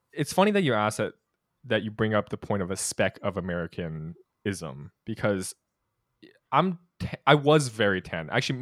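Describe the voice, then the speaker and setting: Low-voiced young man musing casually. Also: no room sound, clean studio sound. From United States. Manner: thoughtful, hesitant, with frequent restarts and laughs. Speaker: podcast co-host. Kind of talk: casual conversational podcast.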